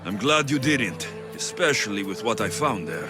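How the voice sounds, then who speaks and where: A blunt gruff mercenary dude in a game cutscene, pitch swings around, big music underneath. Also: male voice, big pitch swings, moderate pace. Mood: reassuring. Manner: blunt, low and clipped. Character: gruff Spartan mercenary man, blunt and protective. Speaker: game protagonist.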